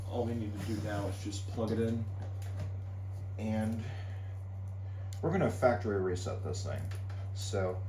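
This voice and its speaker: A man with a fairly low voice talks through a casual hobbyist unboxing. His delivery is very slow and halting, with pauses as he handles things. There is some background noise, along with small clicks from the items. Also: darkish tone. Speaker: hobbyist unboxing weather radios. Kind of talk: casual unscripted unboxing commentary.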